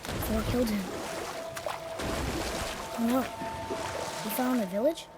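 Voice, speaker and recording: A man's voice: extremely high pitch, steady tone, measured pace, over loud music. A young male actor playing a curious, cocky boy, the son of a god, in a video game. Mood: curious, uneasy. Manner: inquisitive, youthful, casual.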